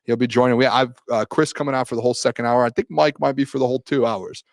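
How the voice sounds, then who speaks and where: Hoarse man hosting a sports talk show. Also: mid-range voice, fairly even intonation, fast, no room sound, studio quality. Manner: fired-up, defensive banter. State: losing his voice.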